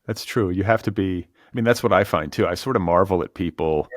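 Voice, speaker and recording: A man's voice: deep voice, a little fast, no echo, clean studio sound. An American man hosting an interview podcast in a casual, earnest, conversational way. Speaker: podcast host.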